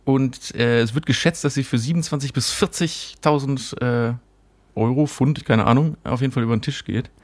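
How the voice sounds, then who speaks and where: A man's voice: mid-range voice, moderate pace. A German-speaking man co-hosting a podcast, chatting loosely and joking with his friend. He keeps tacking a questioning "ne" onto his sentences. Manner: chatty, joking, often ends with "ne?". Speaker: podcast co-host. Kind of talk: casual chat.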